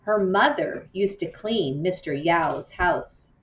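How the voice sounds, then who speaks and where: A woman's voice: on the low side, animated, takes it slowly. Roomy recording of a woman as a hurt young girl. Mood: hurt, indignant. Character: hurt, indignant young girl confiding in her friend. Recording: muffled, roomy, distant from mic.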